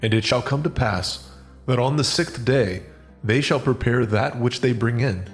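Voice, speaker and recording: An actor playing God as a solemn, commanding male voice, slow and stately, in a dramatized scripture reading. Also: medium pitch, soft background music, natural voice.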